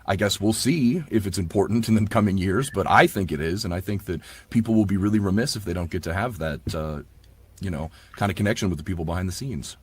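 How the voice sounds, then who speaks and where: A man's voice: low voice, fast, breathy edge. A man speaking casually and earnestly as an audiobook performer in an interview, with plenty of "like" and "you know".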